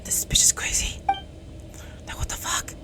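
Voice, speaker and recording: A woman playing a game and reacting casually, muttering breathy, sweary comments. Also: slow. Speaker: game player commenting on cutscenes. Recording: loud game audio over whispered voice. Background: loud game music.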